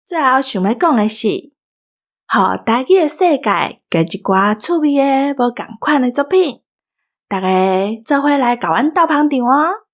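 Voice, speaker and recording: A Taiwanese woman with a fairly high voice speaks casually to her audience as part of a production team. She talks in the manner of an informal sign-off to loyal listeners. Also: expressive, measured pace. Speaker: podcast team member and audio engineer.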